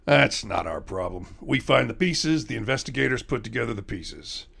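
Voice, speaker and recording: An actor playing a weary, plain-spoken chief park ranger in an audio drama, with a gravelly, husky male voice. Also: medium pitch, animated, moderate pace, a bit bright, full character voice. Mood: matter-of-fact. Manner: plain-spoken, matter-of-fact.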